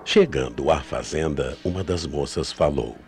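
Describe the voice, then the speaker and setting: A man's voice: rumbling low, normal speed, a little mellow, soft background music. A man with a Brazilian accent narrating a scripted radio mystery story in a dramatic storytelling style.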